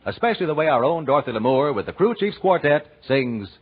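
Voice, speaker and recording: A man's voice: a little high, big pitch swings, fast talker, slight background noise. A male radio announcer reading scripted copy in a polished, emphatic 1940s sales style. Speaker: radio announcer and sponsor pitchman. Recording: dull 1940s radio recording.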